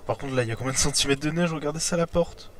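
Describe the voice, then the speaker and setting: A man's voice: fairly high pitch, very fast, a little hiss or hum. A man with a cold and a congested throat, chatting casually as he plays a life-simulation game and talks to his sim and his viewers. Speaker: let's play host. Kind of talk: casual gameplay commentary.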